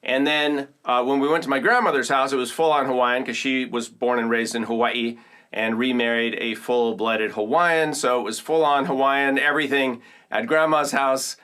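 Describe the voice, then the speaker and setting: A man's voice: mid-range voice, steady tone, normal speed, bright, forceful tone, clean tone. A man from Oakland, California, hosting a percussion tutorial and explaining instruments to viewers in an upbeat, enthusiastic way. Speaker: percussion teacher and host. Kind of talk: casual demonstration tutorial.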